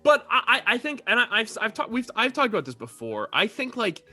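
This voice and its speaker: Young man rambling brightly over music. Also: very high-pitched, wildly swooping pitch, brisk. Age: young adult. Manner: rambling, profane. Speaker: podcast co-host. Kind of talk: casual group chat.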